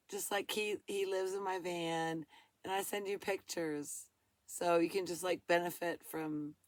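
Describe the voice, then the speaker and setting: A woman in her fifties, hoarse from a cold, chatting casually in a rambling, folksy vlog from her van. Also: lowish voice, no echo. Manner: folksy, rambling, laughs often, says y'all. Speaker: van-dwelling vlogger.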